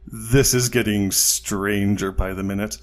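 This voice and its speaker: An actor playing a skeptical but courteous expedition captain in an audio drama, speaking formally. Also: male voice, lowish voice, fairly even intonation, a little slow, natural voice. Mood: puzzled, courteous.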